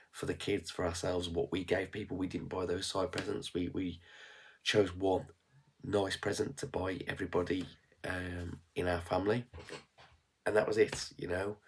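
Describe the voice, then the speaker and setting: Man, 30s, West Midlands accent, congested and nasal from a cold, low gravelly voice, frank rambling vlogger. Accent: West Midlands English. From England. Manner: rambling, frank, with fillers and restarts. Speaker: frugal living vlogger. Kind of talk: casual reflective vlog.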